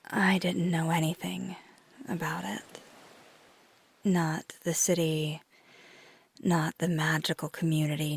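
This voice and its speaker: Woman playing a breathy, slow-talking flirty vampire woman. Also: fairly low pitch, full character voice. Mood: fond, concerned, then reflective, subdued. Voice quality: soft, breathy, often whispery. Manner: unhurried, thoughtful, affectionate. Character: flirty, caring female vampire, gently teasing and reassuring. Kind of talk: ASMR roleplay, intimate close address to listener.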